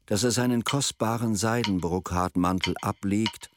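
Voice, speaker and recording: A man narrating a radio drama in a measured, solemn, literary storytelling manner. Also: fairly low pitch, background noise. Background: music.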